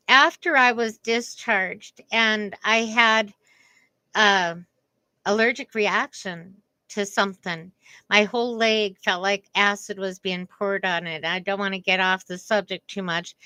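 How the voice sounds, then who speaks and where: A middle-aged woman speaks very slowly and deliberately, each phrase set apart by a pause. Her voice is bright and a little strained, as if pushing for air. The sound is dry and close, with no room around it. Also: medium pitch, clear, resonant tone, dry recording, low-quality recording. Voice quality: voice strained after a vocal cord paralysis. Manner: earnest, deliberate, with careful pauses. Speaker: speaker giving a message on communication. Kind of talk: slow reflective personal talk.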